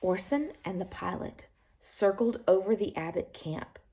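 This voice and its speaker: A woman reading a children's picture book aloud in an expressive, animated way, with little asides to her listeners. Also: mid-range voice, unhurried. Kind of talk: storybook read-aloud to young children.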